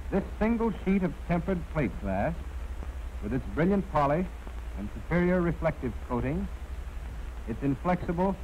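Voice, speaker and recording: An American man reading scripted instructional narration in a formal, measured, precisely enunciated way. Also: on the high side, a little mellow. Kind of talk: scripted training film narration. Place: narration recorded for an archival film. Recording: dull, noisy 1940s film soundtrack.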